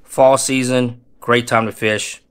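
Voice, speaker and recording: A man in his thirties giving casual, energetic fishing tips to camera in a small home room, stressing points by repeating them. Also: medium pitch, slow pace, clear, resonant tone. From Texas Gulf Coast. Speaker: fishing channel host.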